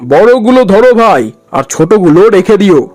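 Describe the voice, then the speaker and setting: A woman voicing a calm village husband who scoffs loudly at ghost talk. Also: medium pitch, moderate pace, faint music behind.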